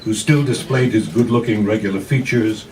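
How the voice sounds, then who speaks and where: A man's voice: fairly low pitch, normal speed, darkish tone. Man reading a story aloud, distant noisy recording. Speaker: actor reading a novella aloud. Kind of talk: dramatic literary reading to a live audience. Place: live reading in a cafe. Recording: noisy live room, distant mic.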